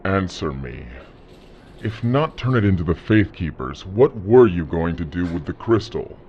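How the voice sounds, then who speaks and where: An actor playing a calm, commanding, threatening gang leader interrogating someone in an audio drama, speaking slowly and deliberately. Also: male voice, low voice, highs cut off, a little hiss or hum. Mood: cold menace.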